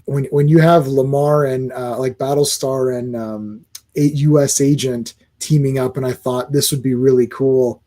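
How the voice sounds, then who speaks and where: A man co-hosting a casual podcast, talking in an animated, rambling way with many restarts. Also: medium pitch, normal speed. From Croatian family, born locally. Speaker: podcast co-host. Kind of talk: casual chat.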